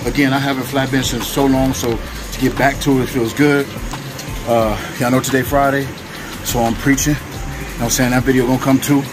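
A man's voice: medium pitch, little pitch movement, fairly quick, low-quality recording. A man talking in a casual, slangy, hyped-up way in a fitness vlog, with some swearing. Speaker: fitness vlogger. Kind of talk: casual motivational vlog talk. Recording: music playing behind.

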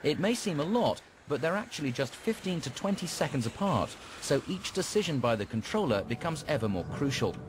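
A man's voice: fairly high pitch, big pitch swings, brisk, few pauses, noisy background. A man reading scripted narration for a television documentary. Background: music.

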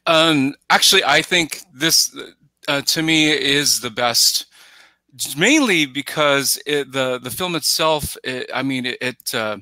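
A middle-aged man talking casually as a podcast guest on a headset, haltingly, often searching for words and repeating himself. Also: mid-range voice, pushed and bright, dry recording. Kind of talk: casual film discussion. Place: indoors at home on a video call. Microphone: headset on video call.

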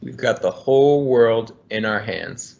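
Um homem de uns trinta anos, engenheiro ambiental, lendo um livro infantil em voz alta, de forma amigável e cadenciada, numa chamada de vídeo. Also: male voice, fairly low pitch, unhurried, vocal fry, a little mellow. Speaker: environmental engineer. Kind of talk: reading a children's book aloud. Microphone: laptop webcam on video call. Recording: muffled video call audio.

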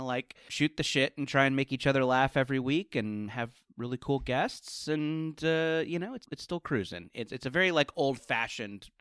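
American man, middle-aged, medium pitch, dry close sound, informal rambling interview chat, self-deprecating. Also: a bit bright, clear, resonant tone, no room sound. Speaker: podcast guest, comedy writer and podcaster.

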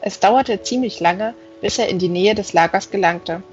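A woman's voice: mid-range voice, moderate pace. Female audiobook reader, scripted fantasy narration, slightly lo-fi sound with music underneath.

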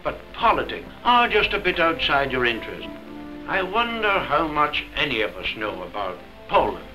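A man's voice: fairly high pitch, big pitch swings, moderate pace, low-quality recording. A middle-aged actor playing an English pub darts skipper and father, jovial and a bit patronising, in a 1940s film. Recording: 1940s film soundtrack with music behind.

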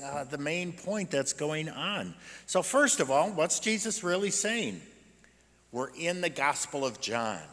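American guy in his 60s preaching, bright forceful voice, chatty but animated, big reverby church. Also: fairly high pitch. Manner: animated, asks rhetorical questions. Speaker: pastor. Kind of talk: sermon, conversational and explanatory. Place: indoors at a church pulpit. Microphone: gooseneck pulpit microphone.

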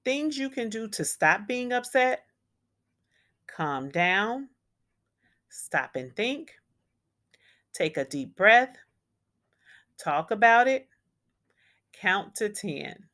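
A woman reading a children's picture book aloud as a storyteller, with deliberate, emphatic phrasing for young listeners. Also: mid-range voice, very animated, sharp tone. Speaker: read-aloud storyteller and educator.

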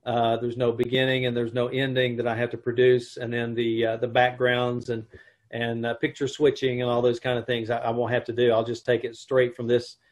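A middle-aged man on a video call, explaining things casually in a halting, hesitant way. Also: medium pitch, monotone. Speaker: troupe member who edits the videos. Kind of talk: casual chat. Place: indoor room at home, video call. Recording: dull video call audio.

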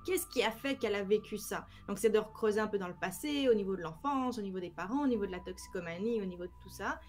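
A woman's voice: mid-range voice, quick pace, faint music behind. French woman explaining on a video call. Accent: French, with Quebec expressions. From France. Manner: animated, explains with many examples, gestures with hands. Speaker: cybersecurity trainer, guest. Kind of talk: casual interview on a live stream. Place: home room, indoors.

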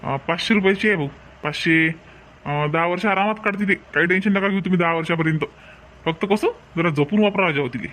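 High-pitched village street seller, acted by a man, Varhadi accent. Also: quick pace, fairly bright tone. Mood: persuasive, friendly. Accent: Varhadi Marathi from Vidarbha. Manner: folksy, talkative sales patter. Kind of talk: comic cartoon dialogue.

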